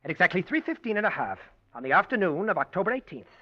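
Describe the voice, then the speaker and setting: A man's voice: sits high, sing-song, fast talker. An actor playing a proud, touchy young male ballet dancer in a 1940s radio drama, sulky and defensive. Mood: resentful. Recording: dull old radio broadcast.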